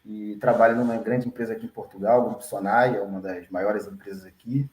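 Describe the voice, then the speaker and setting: A Brazilian man working as a data engineer, introducing an online class and himself at an unhurried pace. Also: fairly low pitch, fairly even intonation, darkish tone, roomy sound, rough recording. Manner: unhurried, frequent tag questions.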